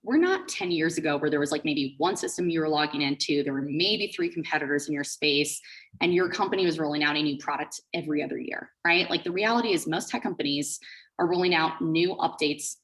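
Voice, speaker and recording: A woman's voice: low voice, expressive, fairly quick, fairly bright tone. A woman co-founder giving a conversational presentation, explaining things informally with plenty of "like" and "you know". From Switzerland and the Bahamas. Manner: informal, explanatory, peppered with 'like' and 'you know'. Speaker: startup co-founder presenting as guest speaker.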